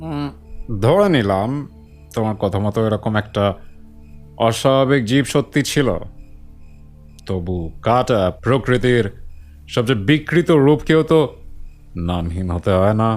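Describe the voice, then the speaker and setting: A man playing a skeptical, rational friend who questions and argues in a measured way in a horror audio story. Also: mid-range voice, expressive, faint music behind, natural voice. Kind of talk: dramatic audio story dialogue.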